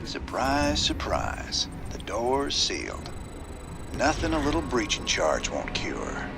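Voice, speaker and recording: An actor playing a tough, wry rebel commander in a game cutscene, talking in a laconic, folksy way. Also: male voice, mid-range voice, wildly swooping pitch, slow, creaky, music in the background, full character voice. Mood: guarded, tough. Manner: laconic, folksy, dry humor. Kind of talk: video game cutscene acting.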